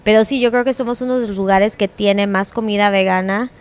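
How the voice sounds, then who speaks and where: A woman, an event organizer guesting on a podcast, confident, energetic and outspoken. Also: medium pitch, fairly quick, smooth. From Houston, Texas, partly raised in Mexico. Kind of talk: casual interview talk.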